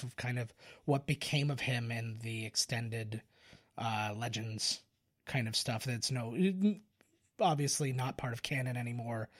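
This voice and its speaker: A man co-hosting a podcast, explaining film trivia in a casual, informative way. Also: mid-range voice. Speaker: podcast co-host.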